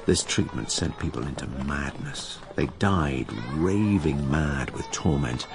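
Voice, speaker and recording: Musing writer-narrator, low creaky voice, wry asides, lively intonation, radio drama, noisy background. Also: male voice, measured pace, heavily acted. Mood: grim, wry. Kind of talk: dramatised first-person narration.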